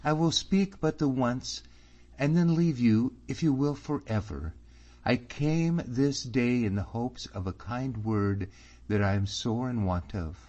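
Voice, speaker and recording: A man's voice: mid-range voice, measured pace, a little mellow, voice pushed up, natural voice. A man reading an audiobook, voicing an earnest young man who pleads anxiously, close to his own voice. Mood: pleading. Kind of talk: audiobook character voice. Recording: slightly muffled recording.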